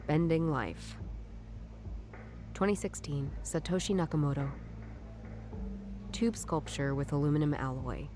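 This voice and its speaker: Low-voiced, sardonic female detective. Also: darkish tone, a little hiss or hum. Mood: dry, scornful. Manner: dry, sarcastic, brusque. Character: curt, sardonic female detective, impatient and secretive. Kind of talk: noir video game voice acting.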